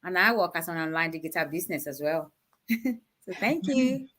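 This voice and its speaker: A woman's voice: lowish voice, lively intonation, moderate pace, a bit bright. A woman from Nigeria, a guest on a live online show, answering casually and without hurry between small laughs.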